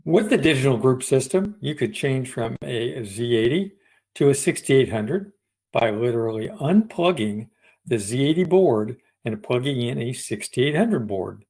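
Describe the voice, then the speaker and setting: A man reads aloud from a prepared audiobook script as its narrator. His voice has an average pitch for a man. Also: lively intonation, unhurried, warm tone, slightly rough. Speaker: audiobook reader.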